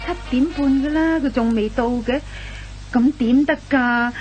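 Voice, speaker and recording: A woman's voice: high-pitched, a little slow, a little mellow, faint music behind, rough recording. A woman acting an angry, impatient young girlfriend in a comic Hong Kong Cantonese radio play, scolding indignantly and emphatically. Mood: exasperated. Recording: old radio recording with faint noise and hum.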